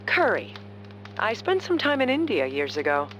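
A woman's voice: on the high side, wildly swooping pitch, moderate pace, a bit bright, lo-fi sound, faint music behind. Composed, melodic worldly lady. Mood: calm, thoughtful. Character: composed, worldly, gently reserved adult woman. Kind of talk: video game voice acting.

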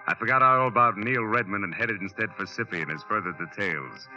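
A male actor plays a hard-boiled American private eye with a low voice. He is cynical and wry, delivering clipped wisecracks in old radio-drama fashion. The vintage recording is muffled, with music clearly behind him. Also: a little fast, natural voice. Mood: tense narrating. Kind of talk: 1940s radio acting and first-person narration.

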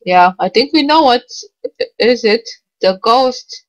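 Slow-paced woman commenting over a game. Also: a little high, expressive, rough recording. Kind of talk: casual game commentary with bits of on-screen text read out.

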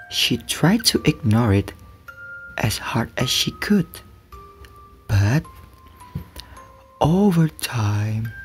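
Very low, slow woman reading suspensefully. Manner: slow, deliberate, suspenseful phrasing. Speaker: storyteller. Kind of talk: dramatic reading of a scary story. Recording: music behind the voice. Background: chiming mallet music.

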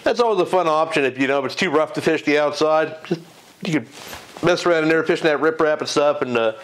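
A man's voice: fairly high pitch, quick pace, a bit bright, low-quality recording. Rambling middle-aged man giving a fishing report. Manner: rambling, many fillers and self-corrections. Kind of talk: casual unscripted fishing report. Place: home garage, in front of a green screen.

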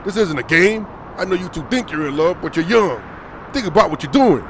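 A man's voice: high voice, animated, brisk, fairly bright tone, a little hiss or hum. A man playing a stern, protective, exasperated father with a scolding, emphatic delivery in a comic dub. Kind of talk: amateur comic dub acting.

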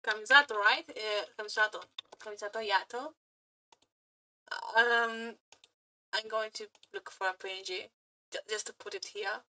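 A woman streamer chatting casually to viewers in a gushing, flirty fangirl manner, halting and repeating her words as she goes. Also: mid-range voice, steady tone, sharp tone, poor audio. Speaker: aspiring VTuber and streamer. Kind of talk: casual reaction chat to viewers.

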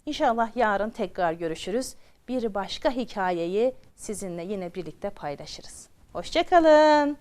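A woman's voice: mid-range voice, animated, a little slow, few pauses, a little mellow. A woman telling a children's story expressively, repeating phrases for drama and addressing her young listeners directly.

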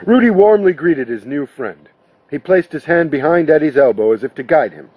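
A man narrates a story in a fairly high male voice. His tone is husky and slightly rough, with the measured phrasing of a book reading. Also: animated, darkish tone, no room sound, poor audio. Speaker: actor reading an audiobook.

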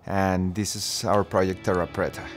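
A man's voice: low voice, flat delivery, moderate pace. Colombian man explaining over music. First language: Spanish. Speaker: systems engineer. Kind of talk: documentary interview. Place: indoor maker workshop. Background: background music.

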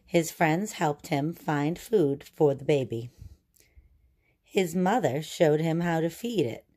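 A woman reading a children's picture book aloud and explaining it in a deliberate, teacherly way. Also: low-pitched, dark tone, breathy edge.

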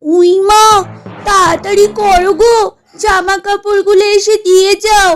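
A woman voicing an excitable, superstitious young housewife in a comedy cartoon, given to melodramatic exclamations. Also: extremely high pitch, measured pace, faint music behind. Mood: urgent, fretful. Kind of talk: exaggerated comedy cartoon acting.

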